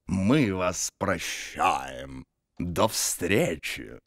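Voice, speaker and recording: A man voicing an aggrieved, fussy double bass husband in a cartoon, with a theatrical, drawn-out, grumbling delivery. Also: sing-song, fairly bright tone, exaggerated voice. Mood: forgiving. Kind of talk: animated cartoon voice acting.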